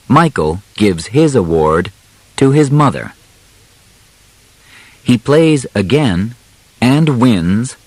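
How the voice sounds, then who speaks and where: A man narrating an audiobook from a simple script, deliberate and carefully enunciated, in short phrases with pauses between them. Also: on the low side, no room sound.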